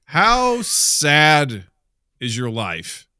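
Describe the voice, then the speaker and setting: A man's voice: a little high, wildly swooping pitch, extremely slow, bright, forceful tone, clear, resonant tone, dry recording, clean studio sound. A middle-aged American man co-hosting a podcast, chatting casually and comedically in an exasperated, profane rant. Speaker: podcast co-host.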